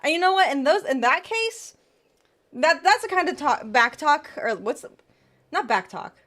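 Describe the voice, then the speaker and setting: A woman's voice: high voice, big pitch swings, normal speed, a bit bright. A woman VTuber streamer chatting casually with her viewers and giving tarot readings. She laughs often and trails off and restarts as she talks.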